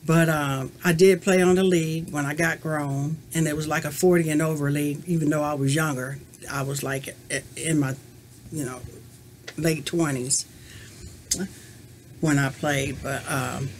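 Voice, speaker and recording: A woman's voice: sits low, fairly even intonation, normal speed, a little hiss or hum. A woman in her fifties answering tag questions in a casual, rambling, reflective way, talking to a webcam in a small room at home. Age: 50s. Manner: rambling and reflective, many "you know" fillers. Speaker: crochet vlogger answering a tag. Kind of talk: casual chat to camera. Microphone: webcam at desk distance.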